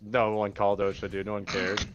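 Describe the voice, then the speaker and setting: A man's voice: lowish voice, monotone, brisk, lo-fi sound, soft background music. A man in his late twenties telling rambling, profane stories on a casual stream. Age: late 20s. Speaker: stream co-host.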